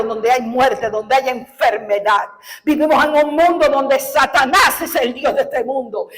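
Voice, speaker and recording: Woman pastor from Cuba, high voice, fervent sermon delivery with shouted climaxes. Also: a little hiss or hum. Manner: fervent preaching, rising to shouted, tearful peaks; slow, deliberate scripture reading.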